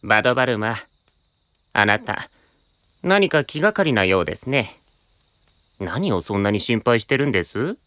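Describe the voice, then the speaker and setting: A sly, inquisitive young man, courteous on the surface and teasing underneath. His bright voice swoops expressively and sounds close and dry, in anime audio drama style. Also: medium pitch, big pitch swings, moderate pace, pauses often, no room sound. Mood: probing, cool. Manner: polite, teasing, insinuating.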